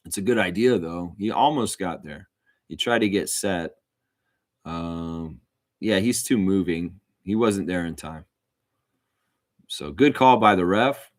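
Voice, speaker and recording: A man's voice: low-pitched, a little slow, long pauses, no echo, clean studio sound. A man hosting a livestream and calling live basketball play-by-play, mixed with casual, opinionated fan chat to viewers. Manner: informal, opinionated fan talk. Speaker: host and amateur play-by-play commentator of a livestream watchalong.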